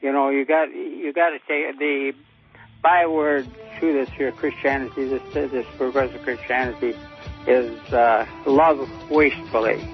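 A man's voice: mid-range voice, fairly even intonation, a little slow, faint music behind. A ninety-year-old American man calling in to a show, chatting in a plain-spoken, folksy way. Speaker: caller. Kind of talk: casual phone-in chat. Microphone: telephone. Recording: dull lo-fi call audio.

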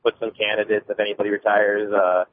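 A man calling in to a radio show, talking quickly and rambling through his question with lots of fillers. His voice comes over a thin phone line. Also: on the low side, flat delivery, slight background noise. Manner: rambling, full of filler words. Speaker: caller.

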